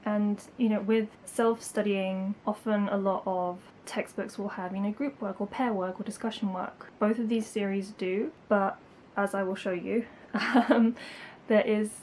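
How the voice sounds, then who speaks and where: A young woman chats casually to her camera about her studies, her talk full of likes and ums. Her voice sits at an ordinary middle pitch, recorded at home with a faint hiss underneath. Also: fairly even intonation, measured pace, darkish tone. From England. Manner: chatty, lots of likes and ums, light laughs. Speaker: language-learning YouTuber.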